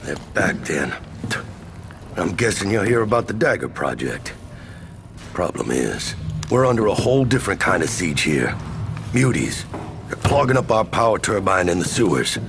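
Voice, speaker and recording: Low, gruff old American marshal. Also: male voice, sing-song, normal speed, pauses often, noisy background. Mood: wry, weary. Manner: drawling, slangy, wry. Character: gruff older war veteran town marshal, folksy and wry. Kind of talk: video game cutscene acting.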